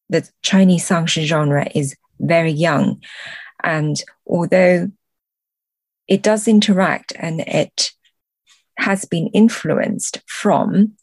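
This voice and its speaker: Slow, low-pitched woman, thoughtful interview guest. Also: a little mellow, slightly rough, dry recording. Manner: thoughtful, measured, pausing between phrases. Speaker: podcast guest, translator. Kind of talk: explanatory interview talk.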